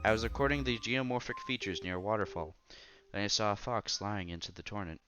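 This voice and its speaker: A man reading story narration aloud with expressive, theatrical reactions as he hosts a visual novel playthrough. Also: lowish voice, fairly quick, music in the background, low-quality recording.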